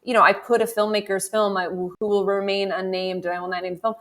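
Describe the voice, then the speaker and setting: A woman's voice: medium pitch, level tone, fast, fairly bright tone. A woman hosting a podcast panel, talking casually as a film curator, rambling with many fillers.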